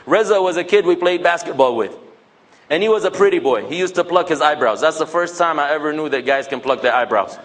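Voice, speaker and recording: A man's voice: on the high side, expressive, brisk. A man, giving a casual, joking religious talk to young people and acting out bits of dialogue. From United States. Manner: conversational, joking, acts out quoted dialogue.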